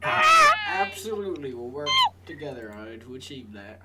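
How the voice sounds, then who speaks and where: A man playing a newly elected president in a game, curt and evasive, with a raised, halting delivery. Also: medium pitch, huge pitch swings, creaky, heavy background noise. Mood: teasing, diplomatic.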